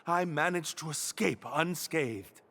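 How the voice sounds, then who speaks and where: A man's voice: on the high side, takes it slowly, a bit bright, no echo. An actor playing a shy, earnest young male prisoner in love in a video game, polite and hesitant. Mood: earnest, grateful. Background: background game music.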